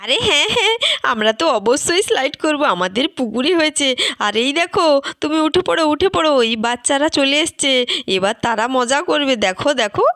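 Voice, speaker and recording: A lively village wife from a doll-play tale, played by a woman. Her voice is high and chatty, full of admiring exclamations. It is recorded close and dry. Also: fairly quick, no room sound, full character voice. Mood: excited. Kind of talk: doll-play story dialogue.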